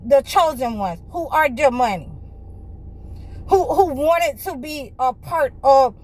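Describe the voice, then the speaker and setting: A woman with a high voice preaches to her viewers in a fervent, circling way. She keeps restarting her sentences and leaning on "you know", and at times pushes up close to a shout. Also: lively intonation, takes it slowly, poor audio. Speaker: spiritual healer and channel host.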